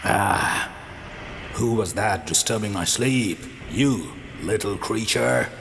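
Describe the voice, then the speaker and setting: Man voicing a slow-talking pompous proud lion. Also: on the low side, vocal fry. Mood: angry, menacing. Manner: pompous, theatrical. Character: proud blustering male lion. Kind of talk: animated children's story acting. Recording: music behind the voice.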